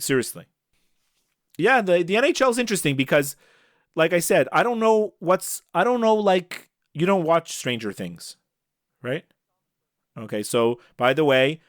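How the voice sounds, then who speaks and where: A man's voice: on the high side, animated, moderate pace, no room sound. Man chatting sports, dry close sound. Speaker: sports podcast co-host. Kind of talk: casual sports chat.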